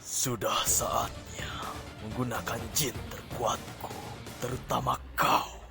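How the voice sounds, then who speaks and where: Seorang pria memerankan dukun jahat yang mengancam dan angkuh. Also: male voice, mid-range voice, little pitch movement, takes it slowly, creaky, music in the background. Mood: sinister, boastful. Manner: threatening, boastful. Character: menacing, vain shaman commanding jinn, male villain. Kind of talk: comic dub voice acting.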